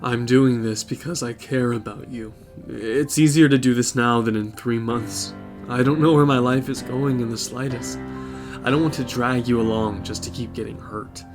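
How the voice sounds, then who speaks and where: Audio drama role: young man, apologetic and torn, average-pitched voice, guitar playing underneath played by an actor. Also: steady tone, normal speed, natural voice. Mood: earnest, anxious. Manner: earnest, apologetic. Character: conflicted, remorseful young man ending a relationship. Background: plucked guitar music.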